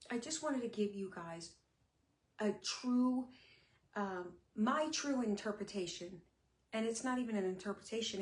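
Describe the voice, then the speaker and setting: Chatty woman, slightly slurred, echoey room. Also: mid-range voice. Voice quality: sometimes slurred speech. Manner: candid and chatty, with pauses and fillers. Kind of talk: casual live-stream talk.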